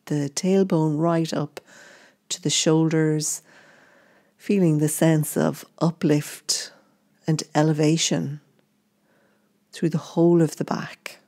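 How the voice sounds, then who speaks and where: A woman gently guiding a meditation in short, unhurried phrases. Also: low voice, little pitch movement. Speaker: meditation guide. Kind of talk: guided meditation narration.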